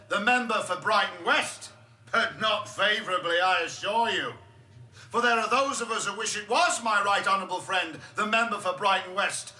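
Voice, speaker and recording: An actor playing an indignant, pompous backbench politician making a sarcastic, emphatic speech in a radio drama. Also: male voice, high voice, pushed and bright. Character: indignant backbench MP denouncing a minister, pompous and righteous. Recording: echoey chamber with faint noise.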